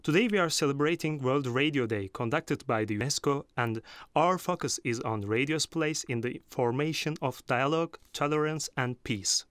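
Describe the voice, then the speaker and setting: A man's voice: mid-range voice, normal speed, a bit bright, smooth, no echo. A man with a Turkish accent presenting and interviewing as a radio host from a script.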